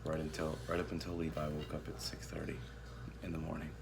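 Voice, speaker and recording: A man's voice: a bass voice, quick pace. A man in his thirties chatting casually and playfully as co-host, recorded on a phone in a home kitchen. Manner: playful, animated. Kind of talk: casual chat, comic storytelling. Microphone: phone at arm's length, behind host. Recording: clear background noise, home phone recording.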